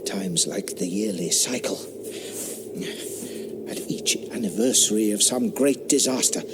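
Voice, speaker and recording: An actor playing a curious eighteenth-century squire who tests for ghosts, earnest and eager, dropping to a hush when he explains his theories. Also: lowish voice, a little slow, very noisy, natural voice. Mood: hushed, intent. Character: curious 18th-century country squire experimenter. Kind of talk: radio drama acting. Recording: audio drama with woodland ambience.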